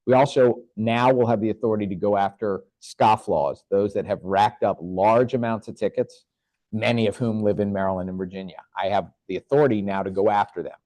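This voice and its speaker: A man with a fairly low voice speaks as a government official at a neighborhood meeting. He answers in an earnest, measured way and sometimes restarts his sentences. The sound is close and dry. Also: steady tone, a little mellow, no room sound. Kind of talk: answering questions at a public meeting.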